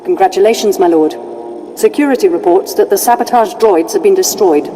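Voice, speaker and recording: A woman's voice: medium pitch, lightly acted, poor audio. Woman playing a stern Imperial lieutenant over music. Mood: dutiful deference. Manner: brisk, commanding, military. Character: stern female Imperial lieutenant, tough, disciplined, ruthless. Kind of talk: video game voice acting.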